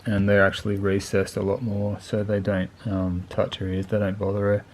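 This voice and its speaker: Man, fairly deep voice, very flat intonation, casual unscripted reviewer, colloquial, plain-spoken. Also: moderate pace, a little hiss or hum. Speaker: motorcycle video channel host reviewing gear.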